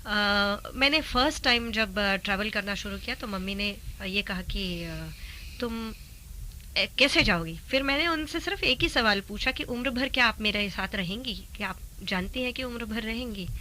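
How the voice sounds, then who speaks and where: A woman's voice: mid-range voice, animated, moderate pace, pushed and bright, a little hiss or hum. A woman interview guest giving reflective, personal answers in a conversation.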